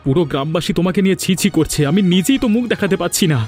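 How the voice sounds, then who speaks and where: Man playing a high-pitched, aggrieved village husband. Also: lively intonation, rapid-fire, a little mellow, soft background music. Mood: disgusted, angry. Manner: dramatic, lamenting. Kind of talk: Bengali cartoon voice acting.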